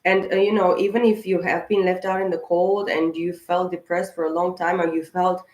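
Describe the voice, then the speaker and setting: Low-voiced woman reading tarot in an echoey room. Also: little pitch movement, moderate pace, a little mellow. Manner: rambling, with many fillers and restarts. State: slightly hoarse, clearing her throat. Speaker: tarot reader. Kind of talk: casual spiritual tarot reading to camera.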